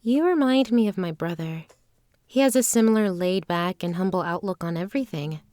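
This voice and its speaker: A woman playing an earnest, guarded traveling performer with formal, measured phrasing in a fantasy audio drama. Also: fairly low pitch, warm tone, lightly acted. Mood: composed, earnest.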